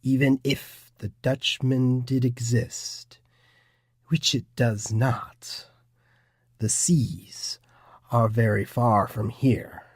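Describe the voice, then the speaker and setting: A man playing a cold, formal villainous interrogator, slow and deliberate in an audiobook drama. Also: medium pitch, steady tone, slightly rough, dry recording, studio quality. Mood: menacing. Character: menacing male Illuminati interrogator, formal and cold. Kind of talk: full-cast audiobook acting.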